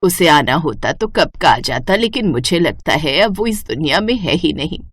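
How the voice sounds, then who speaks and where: A woman's voice: low-pitched, animated, normal speed, husky, no echo, clean studio sound, voice pushed down. A woman voicing a sombre, weary old mother-in-law who admonishes, in a cartoon moral story. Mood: resigned.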